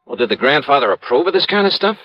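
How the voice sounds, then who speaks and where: An actor playing a brisk, wry, skeptical American insurance investigator in 1950s radio drama style, clipped and probing. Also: male voice, mid-range voice, very animated, no room sound. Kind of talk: 1950s radio detective drama acting, narration and dialogue. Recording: dull, band-limited old radio recording.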